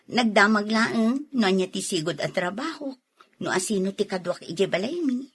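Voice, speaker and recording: A woman with an Ilocano accent playing a young, single, friendly overseas worker in an audio drama, speaking softly and hesitantly. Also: mid-range voice, expressive, normal speed, slight background noise, close to an everyday voice. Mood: modest, friendly. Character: young single Filipina working abroad, Cherry, modest and friendly. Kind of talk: radio drama acting in Ilocano.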